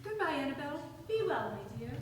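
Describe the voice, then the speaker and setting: Woman as squeaky-high timid young lady, echoey. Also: very animated, fast talker, slight background noise. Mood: gentle. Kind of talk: staged radio play acting. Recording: echoey, far from mic.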